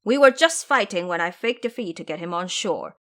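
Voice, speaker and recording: A woman voicing a blunt, complaining male pig-man warrior monk in an audio drama, loud in manner. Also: on the low side, expressive, brisk. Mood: blunt, earnest. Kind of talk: audio drama character acting.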